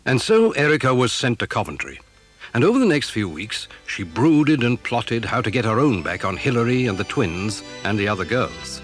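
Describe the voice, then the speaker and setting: A man's voice: mid-range voice, very animated, normal speed, soft background music. A man reading scripted narration for a children's audio drama, telling the story.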